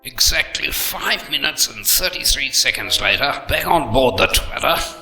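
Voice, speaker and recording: A man's voice: medium pitch, lively intonation, a little slow, pushed and bright, faint music behind. A man narrating a comic audio drama as a dry, mock-serious storyteller.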